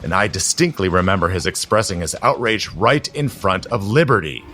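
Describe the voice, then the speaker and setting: A man's voice: deep voice, lively intonation, brisk, pushed and bright. A man acting in an audio drama as a cynical, superior society conspirator with a biting, theatrical delivery. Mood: bitter recounting. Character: scheming, cynical male society conspirator, world-weary and superior.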